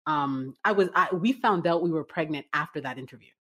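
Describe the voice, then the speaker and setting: Low-voiced Canadian-born woman telling her story. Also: animated, brisk, fairly bright tone. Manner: chatty storytelling with many fillers and restarts. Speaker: guest, writing business owner. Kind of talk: casual interview conversation.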